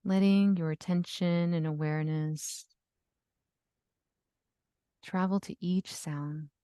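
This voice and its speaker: A woman leading a guided yoga nidra relaxation, giving gentle, soothing instructions with long pauses. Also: fairly low pitch, fairly even intonation, smooth, dry recording, clean studio sound. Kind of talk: guided meditation.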